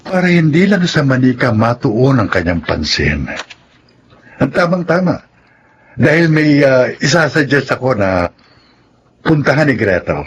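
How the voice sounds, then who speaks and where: An actor in a Filipino radio drama playing a reasonable, reassuring psychiatrist advising a couple. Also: male voice, mid-range voice, very animated, unhurried, long pauses. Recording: dull sound with faint noise.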